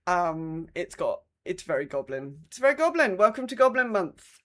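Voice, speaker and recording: A woman's voice: lowish voice, very animated, moderate pace. A woman with a British accent chatting casually as a podcast co-host, with a wry, dry sense of humour. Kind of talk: casual chat.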